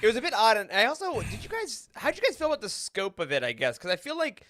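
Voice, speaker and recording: A man co-hosting a podcast in casual chat, with an animated, jokey, emphatic way of talking. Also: high voice, fast talker. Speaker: podcast co-host.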